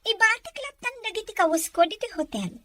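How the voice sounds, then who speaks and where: A woman's voice: very high voice, sing-song, a little fast, sharp tone, dry recording, full character voice. A woman playing a girlish, lovestruck, insistent young woman in an audio drama, coy and hesitant. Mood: pleading, insistent. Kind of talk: Ilocano audio drama acting.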